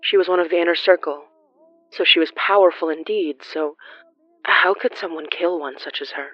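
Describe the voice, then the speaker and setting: Horror fiction narrator, mid-range voice, formal and measured, slightly dull dry recording. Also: mid-range voice, no echo.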